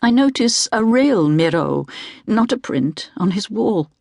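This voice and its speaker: A woman narrates a literary audiobook in the first person. Her voice has a gravelly creak and is recorded close and dry. The delivery is measured and deliberate. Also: mid-range voice, expressive, a little mellow, no echo.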